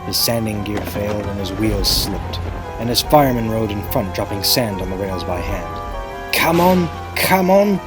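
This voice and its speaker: A man's voice: on the low side, steady tone, normal speed. A man narrating a scripted children's story in a theatrical, emphatic storytelling manner. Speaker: storybook reader. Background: music.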